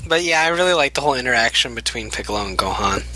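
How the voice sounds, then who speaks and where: A man's voice: mid-range voice, expressive, rapid-fire, pushed and bright, dry recording, poor audio. A young man in casual podcast chat, chatty, with lots of fillers and frequent laughter.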